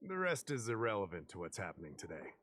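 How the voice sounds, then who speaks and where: A man playing a courteous, knowledgeable fantasy official in a video game, explaining things in a measured way. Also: fairly low pitch, huge pitch swings, a bit bright, a little hiss or hum. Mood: patient, explanatory.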